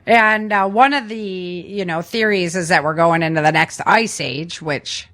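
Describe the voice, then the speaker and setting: A woman's voice: lowish voice, a little slow, a bit bright. A woman hosting a podcast in casual, chatty conversation from a family room at home, using informal, slangy language. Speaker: podcast host.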